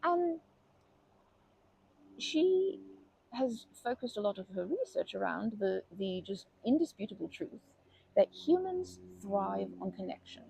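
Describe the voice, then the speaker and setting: A woman voicing a kindly, chatty old grandma in an ASMR comfort roleplay, rambling gently with halting phrases and doting endearments. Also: medium pitch, very animated, slight background noise, rough recording. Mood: earnest, reassuring. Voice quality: husky, creaky voice.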